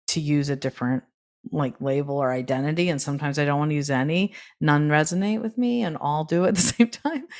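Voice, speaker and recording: A middle-aged woman talking casually and reflectively as a podcast guest, working through her ideas in loosely linked phrases. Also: sits low. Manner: thoughtful, thinking aloud in loosely linked phrases. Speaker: podcast guest, neurodiversity advocate. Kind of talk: casual reflective interview talk.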